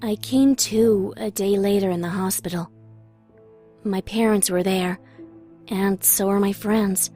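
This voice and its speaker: A woman's voice: mid-range voice, fairly even intonation, a little slow, warm tone. Emotive young woman narrating over music. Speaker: first-person story narrator. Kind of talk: dramatic scripted narration.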